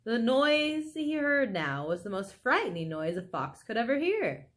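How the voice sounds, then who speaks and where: A woman reading a children's story aloud in a dramatic, expressive way. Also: a little high, a little slow. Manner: animated, dramatic, with exclaimed asides to listeners. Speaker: storybook reader.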